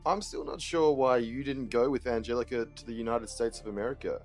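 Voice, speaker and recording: An actor playing a probing, inquisitive interviewer from a future society in an audio drama. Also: male voice, mid-range voice, steady tone, fairly quick, faint music behind, lightly acted. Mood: curious, probing. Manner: probing, questioning.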